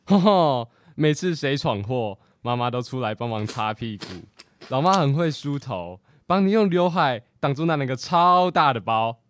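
A man's voice: medium pitch, animated, normal speed, clear, resonant tone, lightly acted. A teasing teenage brother, played by a teenage boy, who ribs his sister about old mishaps. His voice swings up and down a lot in a children's radio drama. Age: teen.

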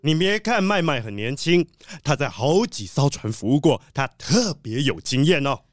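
A man playing an excitable, fretful treasure collector leading an expedition, fussy and dramatic, in a children's audio drama. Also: fairly high pitch, normal speed, no room sound, studio quality. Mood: placating.

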